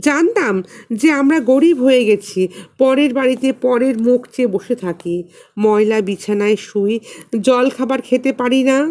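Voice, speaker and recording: A woman reads a literary story as an audiobook narrator, in a measured, expressive way with deliberate pauses. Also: sits high.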